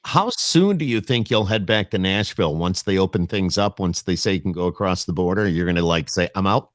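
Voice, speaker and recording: A man hosting a podcast, chatting casually in an animated, joking, folksy manner. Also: deep voice, brisk, no echo, clean studio sound. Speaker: podcast host. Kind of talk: casual interview chat.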